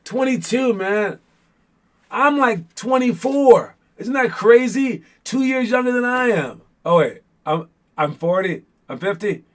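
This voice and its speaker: A man's voice: extremely high pitch, lively intonation, a little slow. A man hosting a basketball show as casual chat with viewers, talking in an informal, rambling way with playful teasing.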